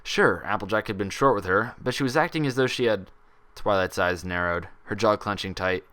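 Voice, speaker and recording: Male narrator for fan fiction audiobook. Lowish, bright and forceful, expressive read. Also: fairly quick. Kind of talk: expressive scripted story narration.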